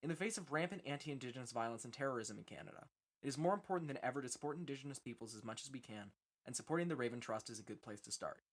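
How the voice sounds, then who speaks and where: Fast-talking man presenting a radio play. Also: medium pitch, expressive. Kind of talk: scripted announcement.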